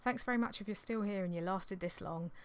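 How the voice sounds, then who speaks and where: A woman in her thirties, slightly hoarse from losing her voice, chatting in a rambling, apologetic way on a vlog, recorded in a small indoor craft room on a desktop mic. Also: mid-range voice, fairly quick. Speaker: clothing reseller vlogger. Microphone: desktop condenser mic on an arm.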